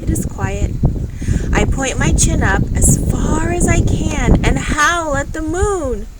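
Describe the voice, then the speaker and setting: Slow-reading woman over loud background noise. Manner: measured, expressive, pausing between short phrases. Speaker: storybook reader. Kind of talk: read-aloud of a children's story. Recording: loud steady background noise, vehicle-like rumble.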